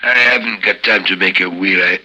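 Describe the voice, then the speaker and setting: An actor playing a big, dying French crime boss with a French accent, speaking haltingly and solemnly in an old radio drama. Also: male voice, sits low, bright, forceful tone, exaggerated voice. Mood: earnest, grateful. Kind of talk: 1950s radio drama acting. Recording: noisy old 1950s radio recording.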